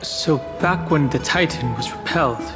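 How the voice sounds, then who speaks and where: A man's voice: medium pitch, normal speed, natural voice. Guy acting serious reserved young dude in a game, analytical, matter of fact, music in the background. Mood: skeptical, thoughtful.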